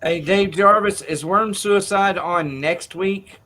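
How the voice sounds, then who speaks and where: A man's voice: sits high, takes it slowly, sharp tone. A man hosting a livestream with casual, crude and irreverent banter. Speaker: show host.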